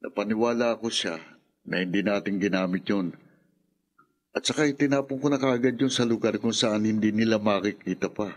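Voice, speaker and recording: A man's voice: on the low side, brisk, close to an everyday voice. Actor voicing a nervous, defensive young man. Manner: defensive, stammering. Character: nervous young man hiding his guilt. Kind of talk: radio drama acting.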